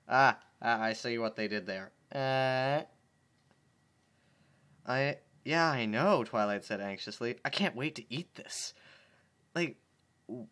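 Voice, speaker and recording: A man reads a story aloud from his bedroom, his voice sitting at an ordinary, middling pitch. He keeps interrupting the text with sarcastic, exasperated remarks. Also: a bit bright. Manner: sarcastic, exasperated running commentary. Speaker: channel host reading fan fiction aloud. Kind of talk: dramatic reading with comic asides.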